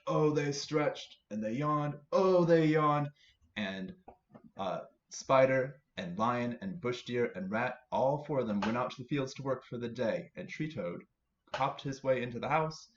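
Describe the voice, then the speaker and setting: A man's voice: medium pitch, lively intonation, measured pace. A man telling a story to children as a library storyteller, with playful repetition and emphasis. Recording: roomy, slightly dull recording with faint noise.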